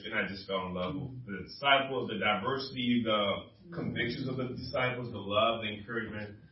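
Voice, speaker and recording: A young man from Liberia speaking informally at church, telling his testimony in a conversational storytelling way. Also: medium pitch. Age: young adult. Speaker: campus minister, guest speaker at church. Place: large room at a church gathering. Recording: roomy, dull sound from distant mic.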